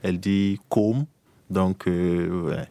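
A man's voice: deep voice, fairly even intonation, slow pace, gravelly, warm tone, dry recording. A young man from Burkina Faso being interviewed, speaking in a hesitant way with many restarts. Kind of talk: casual conversation.

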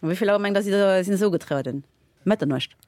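A woman, a guest in a casual interview, telling stories with lots of fillers and lively laughter. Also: mid-range voice, fast, no room sound. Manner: animated, laughing while telling anecdotes, many fillers. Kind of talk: casual storytelling.

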